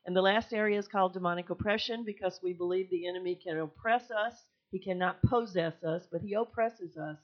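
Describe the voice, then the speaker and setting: A woman's voice: lowish voice, fairly quick, few pauses, muffled recording. An older woman speaking as a guest at a congregation, describing a healing workshop in a friendly, chatty way with fillers and restarts. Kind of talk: sermon-style talk to a congregation. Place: church sanctuary.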